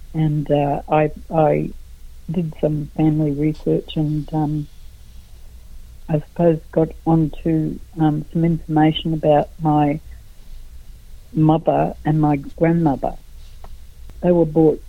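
A middle-aged Australian woman, a guest artist in a radio interview, speaking slowly and haltingly with many pauses and fillers. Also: low voice, no room sound. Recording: tinny phone line.

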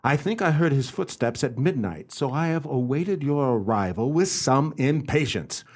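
A man playing a confident, courteous detective in a radio mystery. His mid-pitched voice moves expressively up and down as he sets out his reasoning in formal, deliberate phrases. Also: lively intonation, darkish tone, close to an everyday voice. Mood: confident, explanatory. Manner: formal, deliberate, explanatory, theatrical. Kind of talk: radio play acting and narration.

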